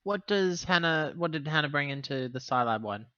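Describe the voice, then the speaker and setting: A man with a bright, forward voice on a dry but slightly dulled recording. He talks casually through his tabletop game moves, taking a wordy route to his point. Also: fairly high pitch, animated, normal speed, no room sound, dull audio. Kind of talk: casual in-game chat, talking through actions.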